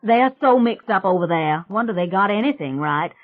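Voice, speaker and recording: A woman voicing a brash young country woman who chatters loudly and gushes. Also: medium pitch, lively intonation, pitched above the performer's own voice, lightly acted. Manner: loud, gushing, rapid chatter. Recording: tinny phone-like sound.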